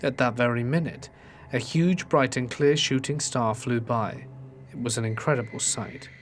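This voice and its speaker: A man tells ghost stories in a steady, measured storytelling voice at an ordinary middle pitch. A faint hiss sits under the narration. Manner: steady storytelling delivery, reading submitted accounts.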